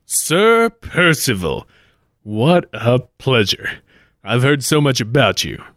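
A man's voice: mid-range voice, big pitch swings, slow, a bit bright, dry recording, clean studio sound. A man playing a brash, cocky but polite adventurer hero in a comic radio play. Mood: polite, wry. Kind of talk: 1940s-style radio play acting.

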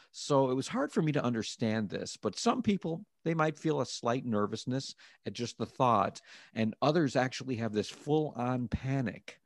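A man's voice: medium pitch, no room sound, studio quality. A man hosting a podcast interview, talking in an upbeat, conversational way. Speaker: podcast host.

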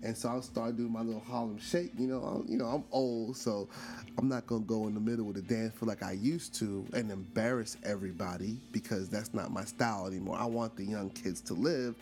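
A man's voice: fairly low pitch, fairly even intonation, a little fast, darkish tone. A man in his forties from Brooklyn, hosting a casual storytelling monologue, chatty with lots of fillers and restarts, often turning to ask his listeners questions. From Brooklyn, New York. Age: 40s. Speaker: podcast host.

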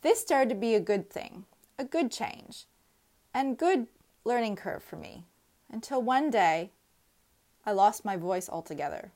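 A woman in her thirties reading her own personal essay aloud in an earnest, reflective, measured way. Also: a little high, expressive, a little mellow. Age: 30s.

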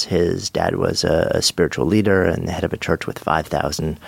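Deep gravelly guy hosting a podcast, measured and chatty, dry close mic. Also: no room sound. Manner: measured, conversational, reads sponsor spots. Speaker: podcast host. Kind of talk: podcast intro and interview.

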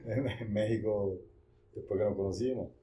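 A man's voice: lowish voice, moderate pace, mellow, poor audio. A man in his forties, born in Italy and raised in Venezuela, chatting as a podcast guest in a rambling way and repeating himself. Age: 40s. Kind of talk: casual conversation.

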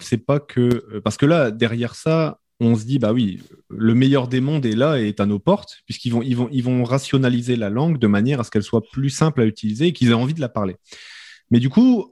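A man's voice: mid-range voice, a little mellow, dry recording, studio quality. A man moderating a panel, asking long probing questions. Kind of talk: discussion panel.

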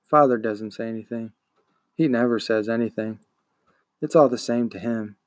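A man's voice: medium pitch, moderate pace, no room sound, studio quality, close to an everyday voice. A man voicing a meek, bullied young schoolboy in a storybook, hesitant and subdued. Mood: downcast.

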